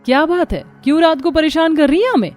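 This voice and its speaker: A woman voicing a young daughter-in-law in an animated family moral story, with a theatrical delivery. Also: sits high, fast, soft background music, lightly acted. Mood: haughty, annoyed.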